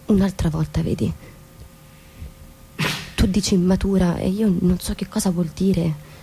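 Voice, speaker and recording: An actress playing a disillusioned, questioning wife in a naturalistic stage drama, speaking in a hesitant, searching way. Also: female voice, fairly low pitch, fairly even intonation, a little slow, creaky, warm tone, husky, heavily acted, low-quality recording. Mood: frustrated, self-doubting. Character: disillusioned, questioning young wife in a troubled marriage.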